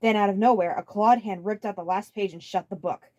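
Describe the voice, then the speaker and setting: A woman's voice: medium pitch, brisk, noisy background. A woman giving a dramatic scripted reading of a story as its narrator. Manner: stumbles over written words. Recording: loud music under the voice.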